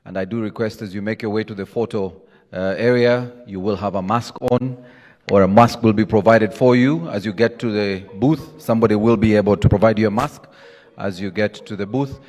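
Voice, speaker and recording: A man's voice: lowish voice, fairly quick, darkish tone. A man acting as master of ceremonies, making polite, formal announcements and giving instructions to guests. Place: large event tent, over loudspeakers. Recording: reverberant PA sound, faint music behind.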